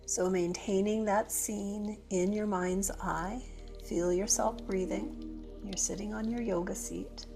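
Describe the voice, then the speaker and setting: A woman leading a guided meditation as a yoga teacher, speaking gently and unhurriedly with pauses between phrases. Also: mid-range voice, steady tone, soft background music.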